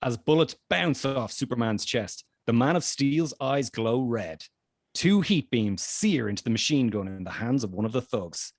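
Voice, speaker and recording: A man with a medium-pitched voice narrates a screenplay. He reads out stage directions and action as scripted narration, with a dry, close sound. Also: lively intonation, moderate pace, no echo. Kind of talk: scripted narration at a table read.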